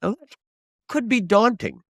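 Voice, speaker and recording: A man in his seventies, a trial lawyer teaching advocacy in an emphatic, deliberate, conversational way with rhetorical prompts. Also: high-pitched, very animated, fairly bright tone, no echo, studio quality. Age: 70s. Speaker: trial lawyer, interview guest.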